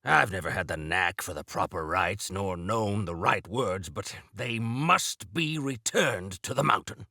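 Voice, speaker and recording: An actor playing a defiant, feisty old exiled warrior-engineer in a video game, gravelly and theatrical, with grandiose, archaic turns of phrase. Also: male voice, lowish voice, lively intonation, measured pace, hardly any pauses, pushed and bright, no room sound, studio quality, heavily acted. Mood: grave, resolute. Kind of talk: video game character acting.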